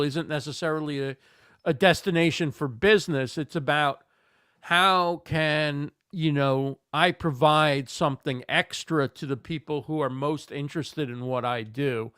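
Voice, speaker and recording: A man's voice: on the high side, bright, forceful tone, clear, resonant tone, no echo, studio quality. Man with a slightly worn voice. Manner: thoughtful, with frequent fillers and repeated words. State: slightly losing his voice. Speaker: guest expert on livestreaming. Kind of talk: conversational interview answers.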